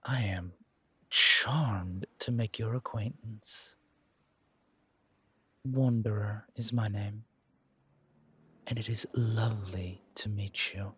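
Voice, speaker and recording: A flamboyant older charmer, acted by a man, purrs in a husky, slightly low voice. He lingers on his words and teases like a smooth club owner in a roleplay drama. The sound is dry and close. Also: fairly bright tone, dry recording, exaggerated voice. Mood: flirtatious, amused. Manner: purring, theatrical, teasing, drawn-out words.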